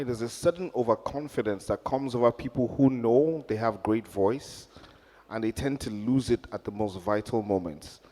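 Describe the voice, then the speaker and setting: A man's voice: on the low side, darkish tone. A man judging a singer, earnest and searching for his words. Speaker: talent show judge. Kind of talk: live critique. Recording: reverberant hall.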